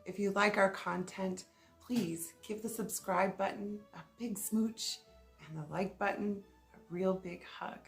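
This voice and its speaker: A woman's voice: mid-range voice, fairly even intonation, unhurried, faint music behind. A woman hosting a children's storytime, reading a picture book aloud in a playful, sing-song way and speaking straight to young listeners.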